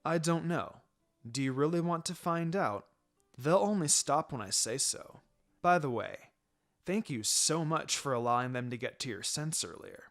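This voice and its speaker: A man voicing a calm, dry adult ninja teacher in a story reading, with a low-key, gently chiding manner. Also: moderate pace, faint music behind. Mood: dryly teasing.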